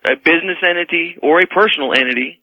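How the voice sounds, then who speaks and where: An American man debating as a caller on a radio show, formal and emphatic but halting, heard over the telephone. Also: on the high side, creaky, highs cut off. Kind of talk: live phone-in debate.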